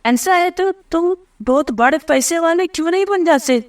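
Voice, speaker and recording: A man's voice: very high-pitched, moderate pace, pitched above the performer's own voice. A man voicing a spoiled, scheming rich schoolgirl in a bossy, entitled way for a cartoon story. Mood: curious.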